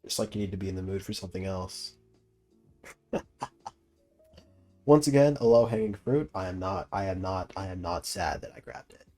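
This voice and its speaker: Young male gaming streamer, fairly low voice: casual, slangy, sweary, amused reactions over faint game music. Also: moderate pace, pauses often, mellow. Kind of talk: casual playthrough commentary.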